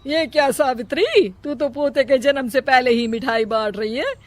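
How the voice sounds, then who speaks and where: A woman's voice: sits high, moderate pace, natural voice. A woman voicing a sceptical, teasing neighbour woman in a cartoon moral story. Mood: teasing doubt. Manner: needling, doubtful.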